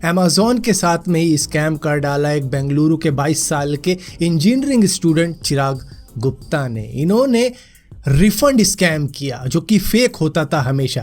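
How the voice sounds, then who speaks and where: A young man with a hoarse throat after a fever, casually rounding up news stories for his viewers. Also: a little high, a little mellow. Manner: chatty, addresses viewers as friends. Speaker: news vlogger.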